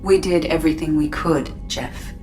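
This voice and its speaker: An actress playing a calm, polite female ship artificial intelligence, speaking formally and precisely with deadpan humor in a video game. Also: low-pitched, normal speed, lightly acted. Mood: measured, reassuring. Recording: loud music behind the voice.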